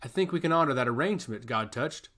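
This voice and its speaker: A man playing a calm, measured king and father in a fantasy audiobook. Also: mid-range voice. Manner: measured, unruffled.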